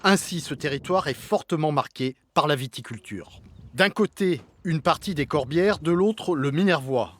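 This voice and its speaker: A male reporter narrates a scripted television news segment. His delivery is even and read aloud, and his voice sits slightly higher than most men's. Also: moderate pace, a little hiss or hum.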